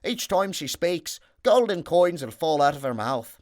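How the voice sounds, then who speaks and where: Brief: kind little forest men from a storybook, voiced by a male reader; a bit higher than his own voice. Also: big pitch swings, measured pace. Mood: kindly. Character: three kindly little forest men granting gifts.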